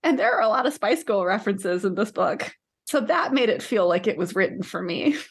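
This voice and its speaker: A woman in her thirties talks as a podcast co-host. Her pitch is average for a woman. The delivery is casual, unscripted book chat between two hosts. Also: animated, fairly quick, breathy edge. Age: 30s.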